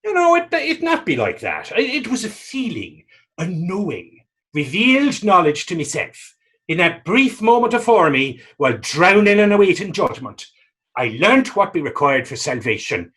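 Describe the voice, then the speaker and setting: Man acting a husky, animated fisherman. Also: sits high, heavily acted. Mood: earnest. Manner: folksy, halting, storytelling in archaic Irish dialect. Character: battered Irish fisherman, pious self-mocking wise-arse dreamer. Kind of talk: readers theater dramatic dialogue.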